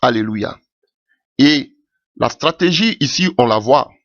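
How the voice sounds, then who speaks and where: A man's voice: lively intonation, a little slow, fairly bright tone, dry recording, lo-fi sound. A man preaching a sermon with passion, calling out alleluia often as his voice rises. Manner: impassioned, rising pitch with frequent alleluias.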